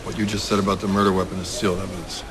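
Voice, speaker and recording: A man's voice: deep voice, little pitch movement, racing, noisy background, lightly acted. An actor playing a shrewd, wry police detective in a crime audio drama, dry and understated as he probes. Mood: dry needling.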